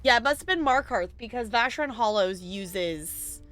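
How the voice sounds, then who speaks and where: A woman streamer chats casually while playing, gushing in a bubbly way. Her voice is bright and fairly high, leaping around lively in pitch. Faint game music hums behind her. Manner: chatty and bubbly, quick to gush. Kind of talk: casual gaming chat.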